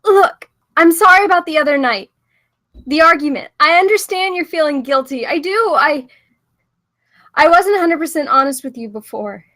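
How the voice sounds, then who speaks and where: A woman's voice: high voice, animated, moderate pace, sharp tone. An actress at a screenplay table read playing Jess, an anxious, devoted woman who speaks in an earnest, pleading, placating way. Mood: confessional, tender.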